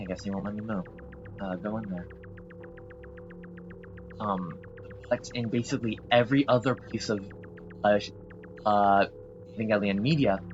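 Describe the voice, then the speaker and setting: A man's voice: fairly low pitch, fairly bright tone, highs cut off. A man rambling casually and apologetically while playing a video game, full of fillers and restarts. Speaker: gameplay video host. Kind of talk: rambling casual commentary over gameplay.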